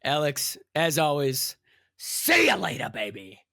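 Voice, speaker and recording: A man's voice: fairly high pitch, a little slow, creaky, dry recording, clean studio sound. A young man co-hosting a podcast, chatting casually and slipping into mock voices for jokes. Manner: animated, jokey, breaks into mock voices. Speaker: podcast co-host. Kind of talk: casual chat.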